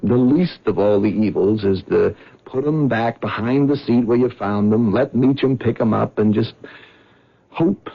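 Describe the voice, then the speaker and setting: Husky worried cabbie husband. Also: male voice, medium pitch. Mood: resigned. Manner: worried, stammering, earnest. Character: anxious husband, a cab driver. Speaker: actor in a radio drama. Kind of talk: 1970s radio drama acting. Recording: dull lo-fi old radio broadcast.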